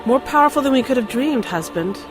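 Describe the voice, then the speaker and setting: A woman's voice: high voice, animated, brisk, over music. A woman playing a winged sorceress queen and former priestess in a fantasy audio drama, solemn and portentous. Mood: excited, certain.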